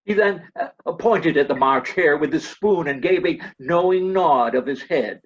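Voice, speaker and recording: A woman's voice: sits low, unhurried. Woman narrating a story, dull lo-fi sound. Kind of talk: scripted narration, readers' theater.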